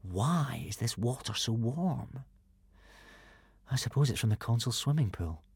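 Young Viking boy hero , voiced by a man, quiet and thoughtful, soft-spoken. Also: mid-range voice, lively intonation, a little slow, gravelly, breathy edge, no room sound, heavily acted. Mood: puzzled. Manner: soft, musing voice.

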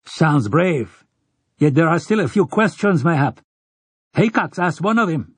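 A man as a skeptical rancher, raised pitch, accented. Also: big pitch swings, a little slow, breathy edge, no echo, full character voice. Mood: skeptical, cautious. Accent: foreign. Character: cautious, skeptical male rancher challenging the priest.

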